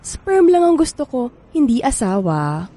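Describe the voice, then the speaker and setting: Coquettish young Filipina, high voice, very swoopy intonation, playful dramatic romcom delivery. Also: female voice, sing-song, a little slow, warm tone, slightly rough, heavily acted. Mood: playful, scheming. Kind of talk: Filipino audio romantic comedy drama acting.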